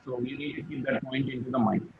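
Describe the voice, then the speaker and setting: A man's voice: medium pitch, steady tone, fast talker, creaky, narrow, tinny audio, slight background noise. A man tutoring physics, patient, repeating key phrases and checking that his student follows. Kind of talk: one-on-one tutoring session.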